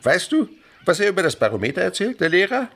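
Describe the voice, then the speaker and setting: Wildly animated old naturalist. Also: male voice, sits high, quick pace, full character voice. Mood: mocking, amused. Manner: animated, emphatic, digressive. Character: irascible, playful old naturalist father, lover of children. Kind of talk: German radio play acting.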